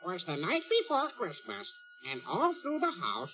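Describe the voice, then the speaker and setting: A man voicing a female pet parrot with squawky, halting recitation, in an old-time radio comedy. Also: sits high, wildly swooping pitch, noisy background, full character voice. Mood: obedient, halting. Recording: dull vintage radio recording.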